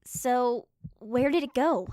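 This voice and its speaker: A woman plays a protective fantasy heroine with a high voice, recorded very dry. She is bold and sarcastic, speaking in a pointed, challenging way in an audio drama. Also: lively intonation, takes it slowly, mellow, no echo. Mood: wary, pointed.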